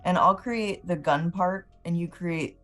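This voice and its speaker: Very low-pitched female game player. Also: a little slow, fairly bright tone, soft background music. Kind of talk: casual game talk.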